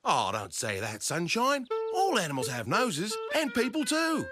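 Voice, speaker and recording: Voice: mid-range voice, wildly swooping pitch, unhurried, pitched above the performer's own voice. A cartoon performer voicing a brash wildlife expert with a put-on Australian accent, hearty and blustery. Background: music.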